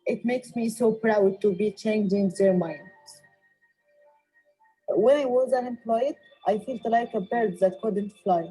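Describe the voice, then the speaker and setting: A woman's voice: medium pitch, unhurried, warm tone, slightly rough, faint music behind, low-quality recording. A young woman from Egypt narrating a scripted personal testimonial as a technician.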